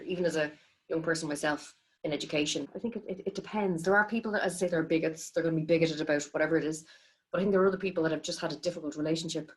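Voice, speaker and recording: A middle-aged Irish woman with a low voice who talks quickly. She answers an interview reflectively and repeats words for emphasis. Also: low-quality recording.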